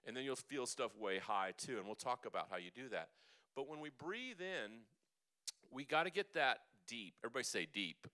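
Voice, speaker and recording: A man's voice: medium pitch, animated, normal speed, bright, forceful tone. A man teaching a vocal seminar, chatty and jokey, with playful asides to the room. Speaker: vocal coach.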